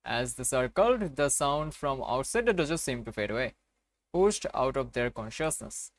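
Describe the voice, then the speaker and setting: A man's voice: mid-range voice, very animated, normal speed. A man hosting a game playthrough, reading a visual novel aloud with casual commentary.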